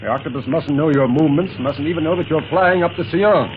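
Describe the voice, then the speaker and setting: Man playing higher-voiced, amiable radio agent leader. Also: fast, close to an everyday voice. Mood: earnest instructing. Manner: amiable, teasing, instructive. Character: good-humored, steady male secret-agent leader. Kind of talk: 1930s radio serial acting. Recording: dull, noisy 1930s radio recording.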